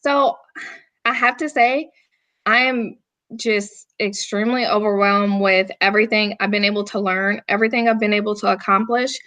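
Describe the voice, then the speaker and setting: A woman in her thirties from North Carolina with a Southern accent, answering interview questions in a laid-back, unhurried way as a guest firearms instructor. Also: mid-range voice, fairly even intonation, bright, forceful tone. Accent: Southern American.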